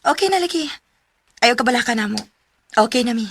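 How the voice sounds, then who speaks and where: A woman's voice: fairly high pitch, animated, brisk, fairly bright tone, a little hiss or hum. An actress playing a devoted, grateful young woman in love, tender and sincere, in a radio drama with a Cebuano accent. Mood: happy, reassuring.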